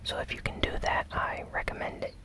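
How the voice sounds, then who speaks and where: An ASMR host recapping news entirely in a breathy, unvoiced whisper, with gentle, measured phrasing and short pauses. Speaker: host of a gaming news show.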